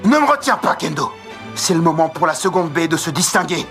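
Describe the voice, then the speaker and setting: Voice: medium pitch, very animated, fairly quick, fairly bright tone, soft background music. A performer playing a combative, hot-headed teenage boy hero in a French anime dub, defiant and impassioned. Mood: fiery resolve. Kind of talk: French-dubbed anime acting.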